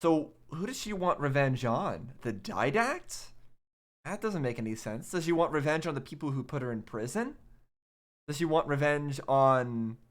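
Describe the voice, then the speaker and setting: A man hosting a reaction video, talking casually and thinking out loud in a rambling way. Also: on the high side, expressive, normal speed.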